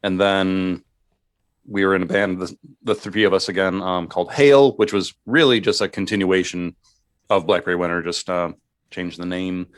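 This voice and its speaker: Low-voiced man chatting, dry close sound. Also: moderate pace, clean tone, no room sound. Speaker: musician, interview guest. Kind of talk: casual chat, recounting band history.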